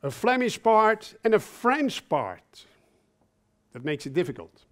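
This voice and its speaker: A man raised in Belgium, speaking English as an in a conference talk, slow and halting, often repeating words and dropping joking asides. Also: sits high, big pitch swings, a bit bright.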